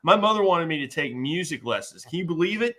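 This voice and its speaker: A man plays a goofy would-be warrior with a bright, slightly high voice that jumps around in pitch. He boasts and scoffs in a live comic script reading. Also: expressive, fast talker. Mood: scoffing, boastful. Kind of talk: live comedic table read.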